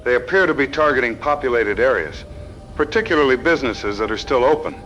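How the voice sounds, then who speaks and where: An earnest town official acted by a man gives emergency instructions in an audio drama. He is formal, orderly and grave, with a medium-pitched voice. Faint noise sits behind him. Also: animated, fast talker, a bit bright, highs cut off, lightly acted.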